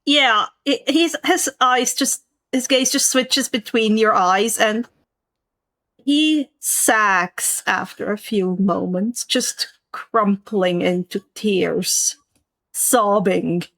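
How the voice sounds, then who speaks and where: A woman narrating a tabletop roleplaying game as game master, in a measured, descriptive way. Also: medium pitch, fairly bright tone, no echo.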